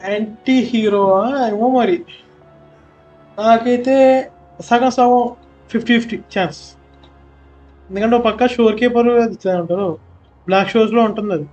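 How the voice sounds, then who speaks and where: Young telugu-accented guy streaming, very fast, high voice, dull mic, music faint. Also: steady tone, darkish tone. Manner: quick, chatty, mixing in casual asides. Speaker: game streamer. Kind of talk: live gameplay commentary.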